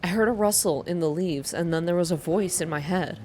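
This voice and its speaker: A woman reading first-person story narration aloud in a soft, hushed, measured ASMR style. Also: lowish voice, slight background noise. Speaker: narrator reading a visual novel game aloud.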